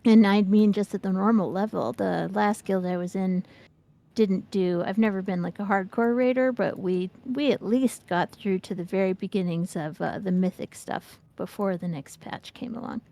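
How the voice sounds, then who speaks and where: A woman's voice: mid-range voice, normal speed, creaky, mellow. A woman in her fifties chatting casually and candidly about gaming as a streamer. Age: 50s. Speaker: game streamer, co-host.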